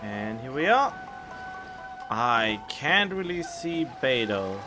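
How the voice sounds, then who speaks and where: Unhurried, animated man commenting on gameplay. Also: mid-range voice. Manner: informal, musing aloud. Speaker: gaming streamer. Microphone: studio condenser microphone. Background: game music.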